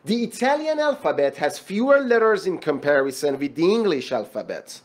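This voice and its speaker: Tutorial narrator reading exam passages out loud, careful diction, pitch moves around a lot. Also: big pitch swings, normal speed. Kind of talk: scripted read-aloud of exam practice passages.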